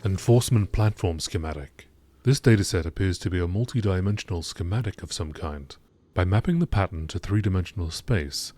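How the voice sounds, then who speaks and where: A man reading scripted material aloud as a narrator, in a measured, factual, nature documentary style. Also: sits low, dark tone. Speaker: narrator reading out game lore.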